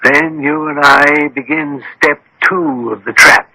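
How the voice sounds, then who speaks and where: An actor playing a dry, unflappable detective in a radio drama, speaking in a measured, politely authoritative way. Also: male voice, a little high, steady tone, vocal fry, bright, forceful tone, dry recording, full character voice, low-quality recording. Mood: calm, dry. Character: dry, unflappable male detective directing a trap.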